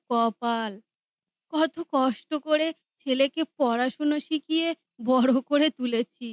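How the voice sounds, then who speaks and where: A woman's voice: high voice, no room sound. A woman voicing a sorrowful old grandmother in a cartoon, pleading and lamenting her fate. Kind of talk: cartoon dubbing.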